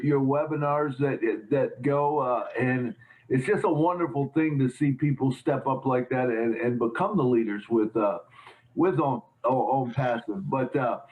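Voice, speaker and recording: A male show host in a casual interview who talks haltingly, repeating words and restarting his sentences often. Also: mid-range voice, narrow, tinny audio.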